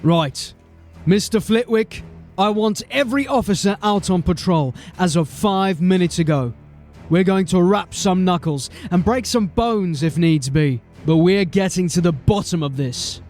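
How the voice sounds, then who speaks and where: High-pitched, stern watch sergeant. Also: male voice, unhurried, a little mellow, soft background music. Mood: resolute. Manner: curt, commanding. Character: stern, hard-bitten male police sergeant in a fantasy city. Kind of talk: dramatic audio drama acting.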